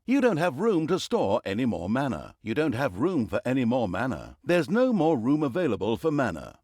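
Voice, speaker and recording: Male mock-grand storyteller for a dark fantasy game; wry, pompous, hugely animated delivery, dry studio sound. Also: mid-range voice, no echo. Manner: wry, mock-grand, breaks into flustered asides. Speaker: video game narrator. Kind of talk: theatrical storybook narration and game announcements.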